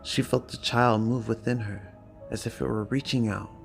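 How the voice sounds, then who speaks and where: A man narrating a story dramatically, with a gravelly voice and a measured, theatrical delivery. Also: medium pitch, steady tone, soft background music.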